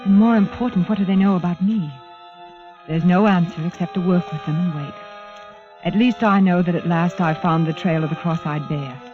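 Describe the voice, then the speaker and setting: A woman's voice: fairly low pitch, moderate pace, natural voice. An actress playing an earnest, anxious young woman with secrets, speaking carefully in a 1940s radio suspense style. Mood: brooding, resolute. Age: young adult. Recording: dull old radio recording, music behind.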